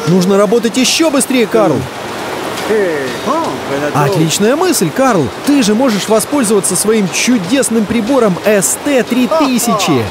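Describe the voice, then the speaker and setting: An excitable, encouraging narrator of a children's cartoon, calling out to the characters with urgency. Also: mid-range voice, a little slow, very noisy. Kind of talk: animated, exclamatory storytelling addressed to the characters. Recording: music behind the voice. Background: cartoon music.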